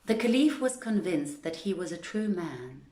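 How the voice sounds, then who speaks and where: A woman's voice: on the low side, sing-song, moderate pace, mellow, echoey room. A woman hosting an online story reading, speaking to listeners and reading literary tales aloud. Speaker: reader of stories to an online audience.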